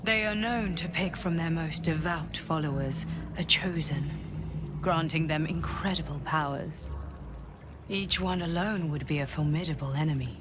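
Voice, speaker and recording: A woman playing a solemn, commanding guardian in a fantasy video game, speaking gravely and earnestly over music. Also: low voice, normal speed, lightly acted. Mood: grave, analytical. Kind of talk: dramatic video game acting.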